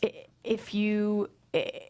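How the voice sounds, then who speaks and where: A woman's voice: mid-range voice, little pitch movement, extremely slow, darkish tone, a little hiss or hum. A woman actor chatting informally in a group discussion. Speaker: actor on a table read panel.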